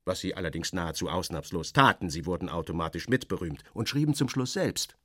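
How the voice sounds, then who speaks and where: A man's voice: sits low, brisk, bright, forceful tone, dry recording, clean studio sound. A man narrating a literary story in the first person, in a wry, clipped and theatrical manner.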